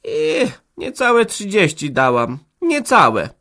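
A defiant young peasant wife, high and loud, cutting back with cold emphasis, as a man puts her on. Her storybook lines leap in pitch. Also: sing-song, takes it slowly, exaggerated voice. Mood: scoffing.

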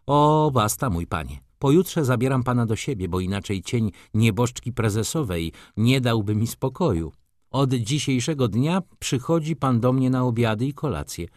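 A man voicing an imperious, flirtatious society lady from a novel, commanding and theatrical, quick to scornful indignation. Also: mid-range voice, animated, a little mellow, no room sound, studio quality, pitched above the performer's own voice, natural voice. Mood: teasing, bossy.

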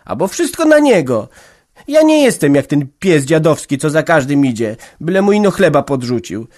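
A man's voice: on the high side, big pitch swings, moderate pace, a little mellow, no echo, clean studio sound, voice pushed up. A man voicing a stubborn, tearful young peasant woman who answers back defiantly. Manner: defiant, sulky retorts.

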